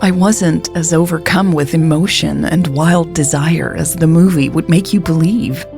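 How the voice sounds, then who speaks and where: A woman narrating a scripted first-person story in a reflective, confiding, measured manner. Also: low voice, creaky, darkish tone. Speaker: narrator of a romantic story podcast.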